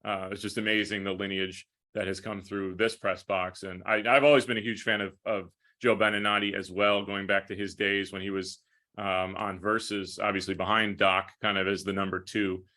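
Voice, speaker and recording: A man's voice: sits low, little pitch movement, moderate pace, pushed and bright. An American man who works as a sports broadcaster, talking conversationally and at length in thoughtful, detailed interview answers. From United States, upstate New York. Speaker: hockey play-by-play broadcaster.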